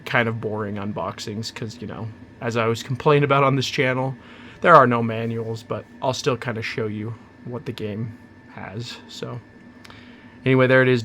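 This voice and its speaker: A man hosting his own channel, chatting casually and rambling through an unboxing, hoarse from a cold. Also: mid-range voice, a bit bright, a little hiss or hum. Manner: rambling, chatty, self-interrupting. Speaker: channel host.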